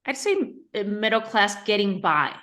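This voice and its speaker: A woman from Spain, a guest on a podcast, answering thoughtfully with pauses and self-corrections. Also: medium pitch, lively intonation, a bit bright. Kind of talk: podcast interview.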